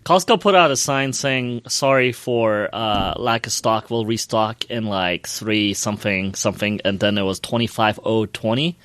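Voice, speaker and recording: A man's voice: on the low side, moderate pace, few pauses, fairly bright tone, smooth, no echo. A man co-hosting a podcast, telling stories casually with emphatic, repetitive phrasing. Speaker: podcast co-host. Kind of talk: casual storytelling.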